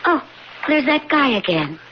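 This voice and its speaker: An expressive, inquisitive radio-play wife. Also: female voice, high-pitched, unhurried. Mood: curious, puzzled. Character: supportive, inquisitive wife of an artist. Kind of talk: 1980s radio drama acting. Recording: muffled, noisy old radio broadcast.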